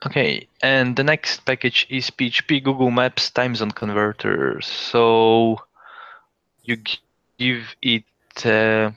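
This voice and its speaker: A man's voice: medium pitch, pushed and bright, no echo. A man hosting a casual tech show, reading out listings and thinking aloud as he goes. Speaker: podcast host. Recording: dull lo-fi sound.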